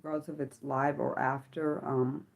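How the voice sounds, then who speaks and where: Thirtysomething woman on a livestream at home, kinda sick sounding, low voice, lots of ums and sorrys. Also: little pitch movement, gravelly. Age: 30s. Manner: halting, many ums and apologetic self-corrections. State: slightly sick voice. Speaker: livestream host. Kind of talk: personal reflective livestream talk.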